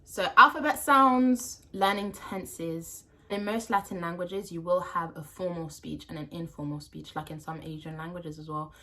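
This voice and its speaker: A young woman from France with a London accent and French as her first language, giving language-learning tips to camera in a chatty, animated way. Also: on the low side, normal speed, few pauses, low-quality recording. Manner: chatty and animated, with playful asides. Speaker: language vlogger.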